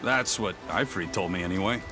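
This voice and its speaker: Um ator interpretando um capitão pirata severo e orgulhoso, de fala firme e professoral. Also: male voice, low-pitched, fairly quick, music in the background, natural voice. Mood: stern. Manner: stern, lecturing. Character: stern proud male pirate captain. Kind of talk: game dub acting.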